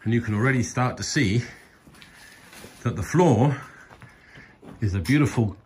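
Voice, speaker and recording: A man's voice: medium pitch, animated, unhurried, darkish tone. A man in his fifties giving an informal, wry, explanatory vlog tour of an old farm he is restoring, recorded on a handheld phone. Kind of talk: informal narrated video tour. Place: outdoors on farm land and inside stone barn. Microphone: handheld phone at arm's length. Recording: clear background noise, clicks.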